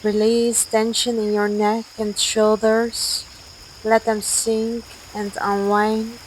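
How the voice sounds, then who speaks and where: Slow-paced woman guiding a sleep meditation. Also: on the high side, poor audio. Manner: gentle, soothing, measured instruction with long pauses. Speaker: meditation guide. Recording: steady outdoor nature noise, crickets. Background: cricket and night insect ambience.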